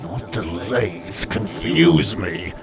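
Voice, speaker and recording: Man voicing an ancient, slow, deep entity. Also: music in the background. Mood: coaxing, solemn. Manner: slow, deliberate, grandiose. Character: ancient male entity of immense power, proud and coaxing. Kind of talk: audiobook character voice.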